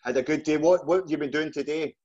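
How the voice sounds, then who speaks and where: A man's voice: a little high, quick pace, darkish tone. A man with a Glaswegian Scottish accent hosting a casual interview, talking in an informal, matey, colloquial way. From Scotland, just outside Glasgow. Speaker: podcast host. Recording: dull, lo-fi call audio.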